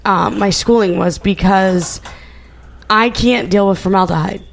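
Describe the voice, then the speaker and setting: A woman's voice: medium pitch, measured pace, gravelly. A woman guest in casual chat, hesitant and often repeating her words as she talks.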